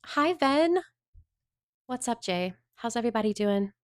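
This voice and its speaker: A woman's voice: a little high, lively intonation, a little slow, smooth, dry recording, clean studio sound. A woman from the Southern United States chatting casually on a livestream, rambling and answering her viewers' chat messages.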